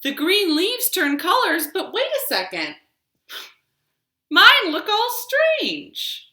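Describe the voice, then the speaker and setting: Woman playing an expressive, slow-talking storybook tree. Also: very high voice, voice pushed up, full character voice. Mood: frustrated, exasperated. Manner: slow, drawn-out rhyming storybook delivery. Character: talking tree, expressive, cheerful then exasperated by autumn. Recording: roomy, echoey home recording.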